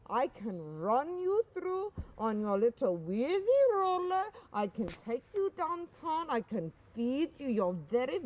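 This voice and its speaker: Woman doing a rambling Russian carer, swooping pitch. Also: dark tone, rough recording. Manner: rambling, emphatic, formal address. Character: Russian woman carer, deferential yet stubborn and indignant. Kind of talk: improvised comedy role-play.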